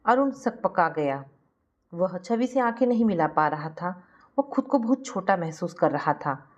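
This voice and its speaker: A woman's voice: fairly low pitch, animated, a little slow, a little mellow, dull audio. A woman reading a scripted family moral story as its narrator.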